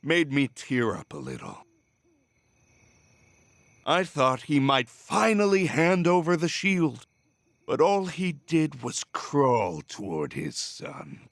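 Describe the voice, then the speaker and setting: Slow, menacing villain, wildly expressive. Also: male voice, medium pitch, dry recording, clean studio sound, exaggerated voice. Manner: theatrical, taunting, drawn-out delivery. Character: embittered, menacing villain, a false father consumed by obsession. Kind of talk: dramatic video game voice acting.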